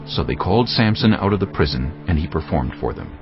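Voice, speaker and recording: A man narrating a dramatized audio Bible in a solemn, measured storytelling manner. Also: a bass voice, very animated. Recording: slightly dull sound, faint music.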